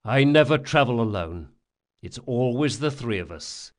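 Self-assured male fighter for an audiobook, read by a male narrator, medium pitch, cool and unruffled. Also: lively intonation, moderate pace, lightly acted.

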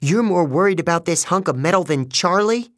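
Passionate, distraught young heroine for a fantasy storybook, raised pitch, swooping delivery, played by a male reader. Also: big pitch swings, slow, bright, forceful tone, no room sound, studio quality, exaggerated voice. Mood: outraged. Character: distraught, passionate teenage demigod girl warrior.

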